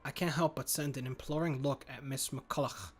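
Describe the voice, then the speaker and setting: A man's voice: medium pitch, fairly even intonation, normal speed, music in the background. Man narrating a visual novel aloud. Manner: earnest, muttering inner monologue with slips. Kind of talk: first-person story narration.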